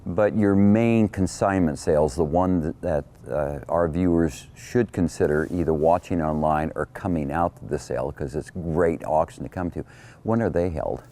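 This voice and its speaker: A man's voice: extremely low pitch, moderate pace, dark tone. A man hosting a farm show, interviewing a guest and narrating with folksy interjections.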